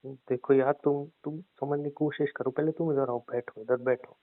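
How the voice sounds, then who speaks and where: A man's voice: mid-range voice, steady tone, normal speed, no echo, natural voice. A man playing an evasive, bossy husband, cajoling and repeating himself in a naturalistic audio drama argument. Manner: cajoling, repetitive, stubborn. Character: evasive, bossy husband stubbornly putting off his wife. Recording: tinny, dry phone-call sound.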